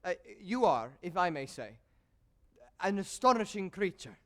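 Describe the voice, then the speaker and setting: An actor in a stage play voicing a scheming, lecherous older court composer with formal, courtly, insinuating phrasing. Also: male voice, high voice, sing-song, unhurried. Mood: courtly, hesitant. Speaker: stage actor in a school one-act play. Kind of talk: theatrical stage period drama acting.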